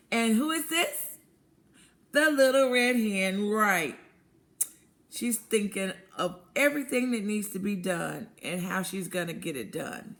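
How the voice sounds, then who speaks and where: A woman's voice: mid-range voice, a bit bright. A woman reading a picture book to young children as a teacher, asking questions, affirming answers and explaining words simply. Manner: asks questions, pauses, then affirms answers. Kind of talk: interactive picture-book read-aloud lesson.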